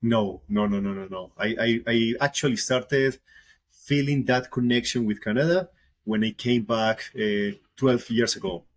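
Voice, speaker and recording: A man's voice: mid-range voice, measured pace. A Colombian man in his late thirties who speaks English with a Colombian accent as a second language, a guest musician who talks casually and often repeats words while he searches for them. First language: Spanish. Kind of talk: casual interview talk.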